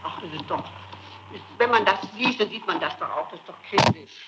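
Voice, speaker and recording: A woman's voice: medium pitch, animated, a little fast. A middle-aged woman being questioned on an old interrogation tape, answering defensively and insistently, breaking off and repeating her phrases. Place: indoor room, archival interrogation tape. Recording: muffled, noisy 1960s tape in an echoey room.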